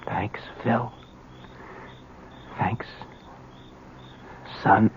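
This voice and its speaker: A thin, noisy old radio recording of a dying old American crook. His voice is gravelly and weakened. He talks in rough street slang, halting and full of bitter regret. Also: fairly low pitch, steady tone. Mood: weak, tender. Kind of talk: radio drama acting. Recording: noisy, narrow-band old radio broadcast.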